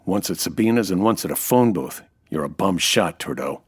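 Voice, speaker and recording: A man's voice: low voice, expressive, normal speed, breathy edge. A man playing a wry American nightclub owner and sleuth in old-time radio noir style, dry, tough and slangy. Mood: sardonic.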